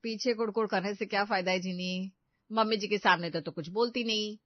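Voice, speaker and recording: A woman's voice: medium pitch, steady tone, fairly quick, dry recording, dull audio, lightly acted. Woman playing a sensible, anxious daughter-in-law. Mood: chiding.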